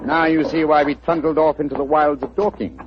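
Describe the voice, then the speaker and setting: An actor playing a brisk, confident gentleman detective who teases his friend, in 1940s radio acting. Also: fairly high pitch, fairly even intonation, close to an everyday voice. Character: brisk, sharp-witted gentleman detective, man. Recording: dull, hissy old radio recording.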